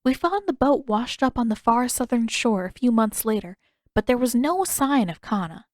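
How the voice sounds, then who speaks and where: A woman voicing a gentle, wistful elderly female healer in an audiobook, with measured, formal, reflective phrasing. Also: fairly high pitch, animated, dry recording, studio quality, voice pushed up, lightly acted. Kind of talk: audiobook character dialogue.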